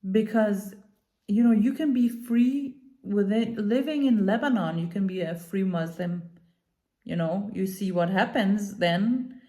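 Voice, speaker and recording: Mellow-voiced woman from Iran, earnest and halting. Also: medium pitch. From Iran, moved to Denmark as a child. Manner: earnest, halting, deliberate emphasis. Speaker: commentator, activist speaker. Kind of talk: talking to camera, unscripted opinion commentary.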